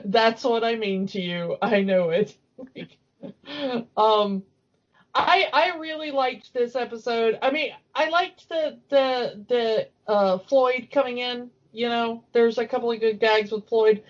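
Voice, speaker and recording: A woman's voice: fairly high pitch, slow pace. A woman hosting a podcast, chatting casually and enthusiastically about cartoons. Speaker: podcast host. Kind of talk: casual chat, cartoon commentary. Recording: dull lo-fi audio.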